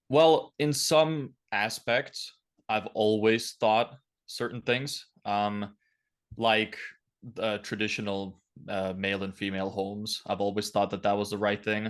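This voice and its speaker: A man's voice: lowish voice, little pitch movement, a little slow. A twenty-year-old man from Lithuania giving blunt, opinionated, casual answers as a podcast guest.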